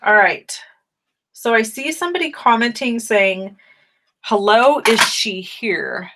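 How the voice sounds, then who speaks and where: Slightly raspy woman hosting a craft stream. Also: fairly high pitch, lively intonation, takes it slowly, noisy background. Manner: chatty, friendly, greets viewers by name. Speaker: stamping demonstrator hosting a live stream. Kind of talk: casual live craft tutorial.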